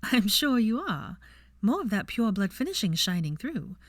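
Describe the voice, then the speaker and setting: A woman's voice: medium pitch, big pitch swings, moderate pace, no room sound, clean studio sound, pitched above the performer's own voice. A woman voicing an earnest, bookish young witch in an audiobook, with an airy, polite manner. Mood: teasing. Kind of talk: audiobook character voice.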